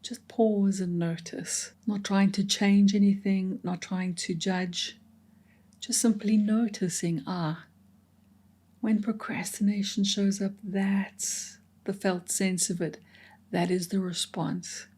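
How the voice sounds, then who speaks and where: A woman leading a guided meditation in a slow, gentle and soothing way, often dropping into soft whispers between reflective pauses. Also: mid-range voice, level tone, slightly rough.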